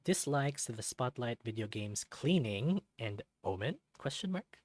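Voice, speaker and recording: A person reading a visual novel aloud and commenting casually, thinking out loud in a hesitant, reactive way. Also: medium pitch, animated, unhurried, no room sound. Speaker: let's play narrator and commentator. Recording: background music behind the voice.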